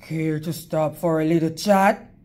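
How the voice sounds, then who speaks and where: A woman plays a big storybook wolf with courtly, coaxing manners. He speaks in a lowered register and sweet-talks slyly, taking his time. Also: steady tone, unhurried, dark tone. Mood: sly, courteous. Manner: polite, smooth-talking. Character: charming, sly, sweet-talking large male wolf.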